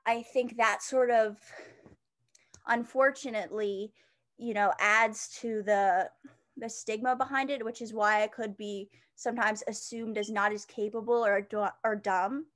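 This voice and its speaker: A young woman with a high-pitched voice, chatting as an interview guest, with many fillers and restarts. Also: fairly even intonation, unhurried, fairly bright tone. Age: young adult. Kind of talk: casual interview chat.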